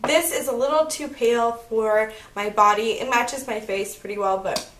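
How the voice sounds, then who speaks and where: A chatty young woman in her twenties talks through her makeup routine in a fairly high voice, her tone slightly croaky as she gets over a cold. She is recorded at home on a camera mic, and the room gives the sound a noticeable echo. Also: normal speed, fairly bright tone. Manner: chatty and upbeat, narrating each step. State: slightly croaky, recovering from illness. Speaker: beauty vlogger. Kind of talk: casual makeup tutorial chat. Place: bedroom at home, indoors. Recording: echoey room.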